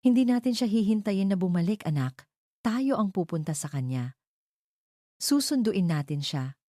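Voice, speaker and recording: A woman voicing a gentle, earnest, remorseful father talking to his child in an audio drama. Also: mid-range voice, expressive, moderate pace, no room sound, clean studio sound, voice pushed up, close to an everyday voice.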